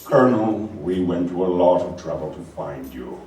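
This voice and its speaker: Radio play villain: suave, menacing male dictator, voiced by a man, formal and deliberate, distant in an echoey room. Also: low-pitched, expressive, a little mellow, pitched below the performer's own voice. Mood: smooth menace. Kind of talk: live stage radio play. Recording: echoey, distant live room, background noise.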